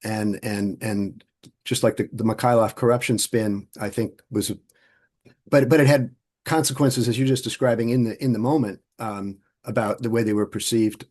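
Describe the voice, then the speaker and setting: A man interviewing for an oral history project, thoughtful and halting, often restarting his sentences. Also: fairly low pitch, fairly even intonation, a little mellow.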